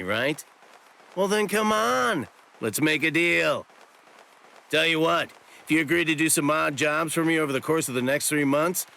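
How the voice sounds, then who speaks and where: An actor playing a sly, breezy shopkeeper in an anime game dub, with a glib, theatrical, playful way of talking. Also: male voice, on the high side, gravelly, sharp tone, heavily acted. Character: sly, breezy shopkeeper hiding great power, cheekily self-flattering.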